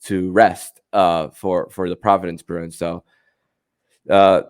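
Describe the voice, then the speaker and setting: A man hosting a casual sports podcast, talking in a halting way that often stammers and repeats words and phrases. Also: sits low, flat delivery, no echo, clean studio sound.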